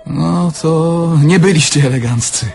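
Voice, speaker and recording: An actor playing a naive, candid young Polish car thief in an audio drama, talking in a plain, conversational way. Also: male voice, a little high, unhurried, creaky, a little mellow, faint music behind. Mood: wry reminiscing. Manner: conversational, plain-spoken, reminiscing.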